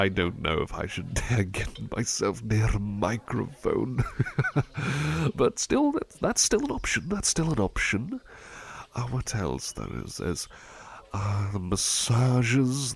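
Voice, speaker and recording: A man playing a devoted, polite butler in an ASMR roleplay, eager and gently fussy, murmuring hesitantly and repeating himself reassuringly. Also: lowish voice, gravelly, slightly rough, heavily acted. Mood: bashful, playful. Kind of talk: ASMR roleplay, soft personal chat.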